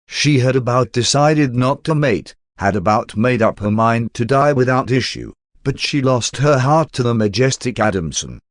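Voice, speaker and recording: A man reading scripted audiobook narration in a measured, formal manner. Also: mid-range voice, no room sound, clean studio sound.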